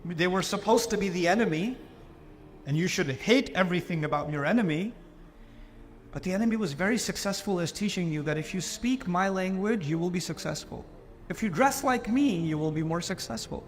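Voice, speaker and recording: A man from Pakistan giving an animated Islamic lecture to a live audience, often putting on mocking voices for the people he quotes. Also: high voice, brisk.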